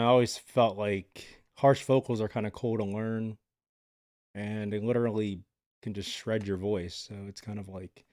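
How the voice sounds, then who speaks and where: A man's voice: fairly low pitch, darkish tone, no room sound, studio quality. A man casually chatting with his live viewers from home, laid-back and rambling with lots of filler words. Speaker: livestreamer.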